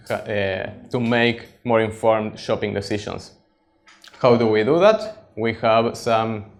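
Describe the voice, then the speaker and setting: A man in his thirties from Argentina with an Argentine Spanish accent, a native Spanish speaker giving a technical meetup presentation to an audience. He explains plainly and pauses often. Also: fairly low pitch. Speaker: conference speaker, data scientist. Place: meetup stage before an audience.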